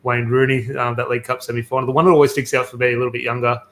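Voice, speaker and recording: A man's voice: medium pitch, flat delivery, very fast, fairly bright tone. A man hosting a casual football podcast, chatty and enthusiastic, telling stories with plenty of fillers. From Australia. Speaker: podcast host.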